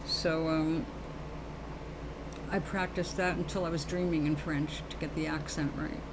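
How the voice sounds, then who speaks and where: A woman's voice: low-pitched, fairly even intonation, a little slow, background noise. A woman from New York with a New York accent, hosting a live show and chatting earnestly and ramblingly about spirit, calling listeners baby and darling. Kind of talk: casual spiritual talk to viewers.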